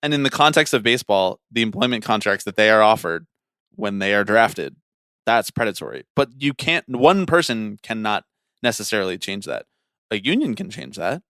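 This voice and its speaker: A man's voice: lowish voice, big pitch swings, moderate pace, a bit bright, smooth, no room sound. A young man co-hosting a casual sports podcast, giving his analysis in an opinionated, emphatic way. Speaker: podcast co-host.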